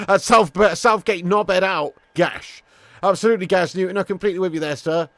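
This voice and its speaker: A man's voice: high-pitched, fairly quick, fairly bright tone, no echo. Chatty English man, dry close sound. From England. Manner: chatty, swears casually, greets viewers by name. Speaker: host. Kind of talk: live football watch-along chat.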